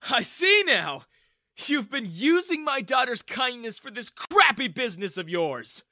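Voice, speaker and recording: A man voicing a sneering, hot-tempered middle-aged father who shouts and mocks in a storybook narration. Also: very high-pitched, very animated, normal speed, dry recording, studio quality, pitched above the performer's own voice, heavily acted. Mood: furious. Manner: shouting, mocking.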